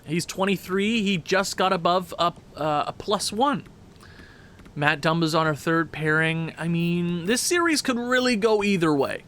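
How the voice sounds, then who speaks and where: A man with a stuffed-up nose giving chatty, excitable commentary over a hockey video game. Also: high-pitched, slight background noise. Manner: chatty, excitable, quick asides. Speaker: gaming commentator.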